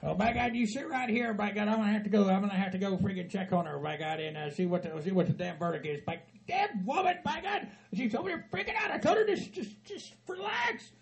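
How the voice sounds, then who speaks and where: A man playing a frantic aging hillbilly husband with a Southern hillbilly accent, who stammers, rambles and laughs through his words while yelling and cussing. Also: fast talker, hardly any pauses, slight background noise. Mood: panicked. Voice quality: high-pitched and gravelly. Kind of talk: comedy sketch.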